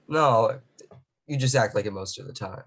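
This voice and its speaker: A man's voice: on the low side, moderate pace, a bit bright. A man reading the part of a cocky teen boy who teases a girl, in an amateur table read. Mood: teasing. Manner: teasing, laughs often.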